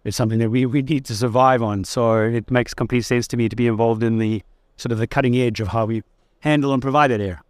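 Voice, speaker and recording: Smooth-voiced South African man, easygoing. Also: mid-range voice, steady tone, quick pace, few pauses, dry recording, studio quality. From South Africa (Durban). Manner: easygoing, thoughtful, lightly joking. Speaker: guest. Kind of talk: conversational interview. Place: trade fair stand.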